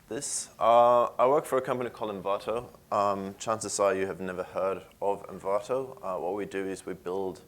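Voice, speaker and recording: A man in his thirties from Sweden with a Swedish accent, giving a technical conference talk in a casual, conversational way with frequent filler sounds. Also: deep voice. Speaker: conference speaker. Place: conference room with an audience.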